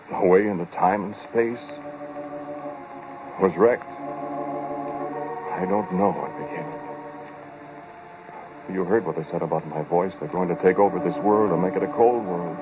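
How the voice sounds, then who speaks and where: A low-voiced, haunted scientist played by a man confides his story in a brooding, halting way. It is a 1940s radio drama monologue, muffled with age, with music behind it. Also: lightly acted. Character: haunted, uneasy male scientist recounting his ordeal.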